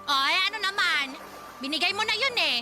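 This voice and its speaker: A woman's voice: very high voice, animated, normal speed, over music. A woman playing a brazen, argumentative middle-aged mother in a radio drama. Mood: defiant. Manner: brash, argumentative.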